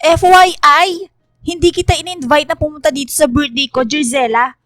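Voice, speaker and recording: High, girlish, sassy young friend. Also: steady tone, normal speed, fairly bright tone, dry recording, faint music behind. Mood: cold, contemptuous. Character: vain, fickle young woman turned cold toward old friend. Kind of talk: radio drama acting.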